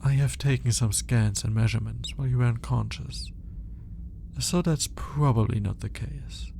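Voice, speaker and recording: A man playing a clinical, curious alien scientist in a soft, intimate ASMR roleplay, measured but grudgingly fascinated. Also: medium pitch, creaky, slight background noise, close to an everyday voice. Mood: clinical, detached. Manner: clinical, measured, hesitant when flustered.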